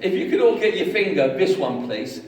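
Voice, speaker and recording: A man preaching a lively, jokey sermon, telling a story with voices and getting the congregation to join in. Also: sits high, brisk. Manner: jokey, animated storytelling with audience participation. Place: large hall with an audience. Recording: echoey hall, far from mic, crowd.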